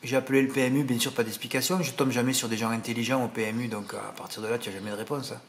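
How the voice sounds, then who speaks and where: A man's voice: medium pitch, fairly even intonation, racing, slight background noise. Indignant French man with a regional accent. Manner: indignant, rambling, full of "hein" and "voilà". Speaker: horse racing tipster. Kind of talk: casual monologue to subscribers.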